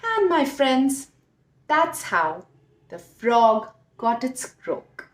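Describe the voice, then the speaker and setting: A woman telling a story to children expressively, with dramatic, drawn-out emphasis. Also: sits high, very animated. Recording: echoey room.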